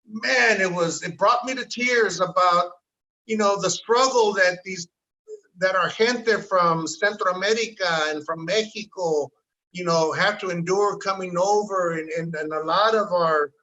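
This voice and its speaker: A middle-aged man with a notably high, bright voice tells a rambling story as a podcast guest. He drops Spanish words into his English and trails off before he circles back. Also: highs cut off. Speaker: podcast guest, bar owner. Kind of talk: casual podcast conversation.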